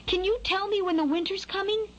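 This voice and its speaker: A woman's voice: extremely high pitch, animated, moderate pace, dull audio, a little hiss or hum, pitched above the performer's own voice. A woman voicing a naive, wistful little skylark chick in a storybook, with a childlike way of talking. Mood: worried. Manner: childlike, matter-of-fact.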